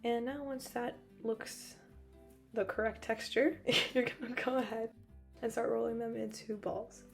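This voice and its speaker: A young woman with a hoarse voice, still recovering from losing it, narrating a cooking video in a chatty, joking way with frequent laughs. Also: on the high side, steady tone, measured pace, faint music behind. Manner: chatty, joking, laughs often, rambling asides. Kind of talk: casual voice-over narration.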